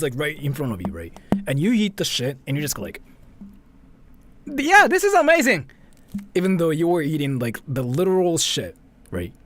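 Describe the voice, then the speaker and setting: A man's voice: a little high, background noise. A man hosting a livestream in a casual, blunt way, thinking aloud and swearing freely. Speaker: livestream host. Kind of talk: casual livestream reaction chat.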